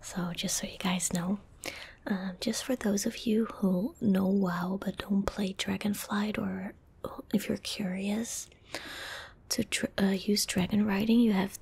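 Young female ASMR creator, hushed and very breathy whisper, gentle delivery, fairly even intonation, close-miked. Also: medium pitch, a little slow. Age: young adult. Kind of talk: soft-spoken ASMR. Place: small indoor room at home. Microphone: close desk microphone.

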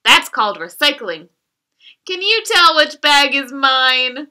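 A woman's voice: sits high, very animated, takes it slowly, exaggerated voice. Woman reading as a cheerful little girl. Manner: gentle, sing-song storybook delivery.

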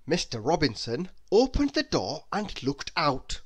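A storybook narrator reading aloud for children. The voice sits at a medium pitch and moves up and down freely. The telling is expressive yet measured. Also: breathy edge.

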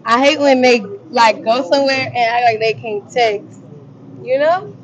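A young woman from Savannah with a Southern US accent answers a street interviewer in a direct, confident way. Her voice sits a bit high. Clear noise from the city street is heard around her. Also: measured pace, lo-fi sound. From Savannah, Georgia. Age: young adult.

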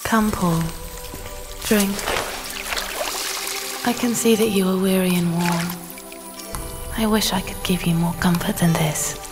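Gentle, low-voiced holy spirit maiden. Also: female voice, steady tone, slow, long pauses, over music. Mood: tender. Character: holy ghostly maiden, gentle, solemn, weary. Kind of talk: solemn video game cutscene acting.

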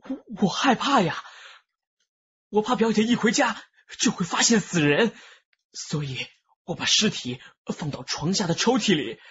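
An actor playing a hot-headed, guilt-ridden young loafer who stammers, in a Chinese crime radio drama. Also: male voice, sits high, animated, moderate pace, a bit bright, slightly rough, dull audio, full character voice. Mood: panicked, remorseful.

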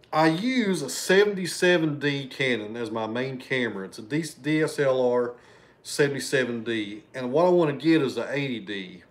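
A man's voice: a little high, a bit bright. A man, hosting a casual livestream from his living room and chatting with viewers. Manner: chatty, thanks viewers by name, loses his train of thought. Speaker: livestream host. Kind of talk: casual livestream chat reading super chats. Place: living room at home, indoors.

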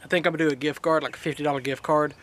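Man, 30s, casual vlog chat, relaxed with ums, action camera outdoors. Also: a little high, very fast. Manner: relaxed, drawling, fillers like um. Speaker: fishing vlogger. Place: outdoors by a pond and in a yard. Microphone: action camera, head-mounted or handheld. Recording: faint outdoor noise.

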